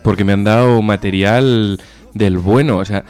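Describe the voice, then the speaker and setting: A man's voice: lowish voice, steady tone, measured pace, a little mellow, faint music behind. A man with an accent from Spain co-hosts a casual radio chat show in an informal, jokey way, swearing freely. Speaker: co-host of a music and chat show.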